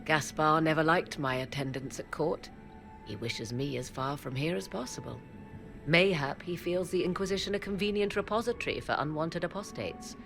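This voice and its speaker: A woman's voice: low voice, normal speed, creaky, over music. An actress voicing an aloof, sardonic woman mage, speaking in formal, old-fashioned phrasing with dry, teasing asides. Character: aloof, sardonic woman mage who deals in forbidden arts. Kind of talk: video game cutscene acting.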